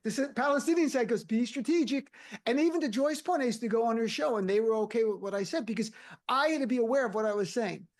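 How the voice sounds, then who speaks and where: A man's voice: very high voice, fast talker. A man speaking bluntly and conversationally as a guest on a political panel. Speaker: guest commentator.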